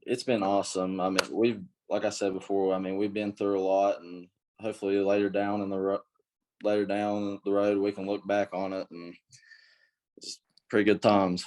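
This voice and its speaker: Young man, athlete, low voice, flat delivery, brief answers. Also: normal speed. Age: young adult. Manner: short, plain answers. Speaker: high school basketball player. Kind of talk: casual interview answers.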